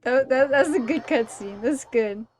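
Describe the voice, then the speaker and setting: A woman's voice: sits high, measured pace. A woman livestreaming a game with casual, playful, irreverent reactions and some swearing. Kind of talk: casual live reaction commentary.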